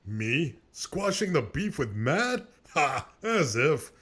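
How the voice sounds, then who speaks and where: A man playing a vain, cowardly, excitable cartoon character with a theatrical, whiny and boastful delivery. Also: fairly high pitch, slow, breathy edge, heavily acted. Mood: scornful. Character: vain, cowardly, excitable man who insults his rival. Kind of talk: comedic cartoon voice acting.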